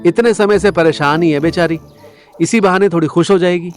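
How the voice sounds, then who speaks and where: Man acting higher-voiced affectionate husband. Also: a little fast, soft background music, natural voice. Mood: soothing. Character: affectionate, soothing husband, quietly nervous.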